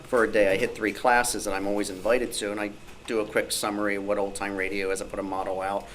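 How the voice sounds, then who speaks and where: A man's voice: fairly low pitch, little pitch movement, fast, fairly bright tone, rough recording. A man speaking informally on a panel at a club meeting, explaining things with examples and asides. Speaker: club newsletter editor, panelist. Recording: noticeable background noise.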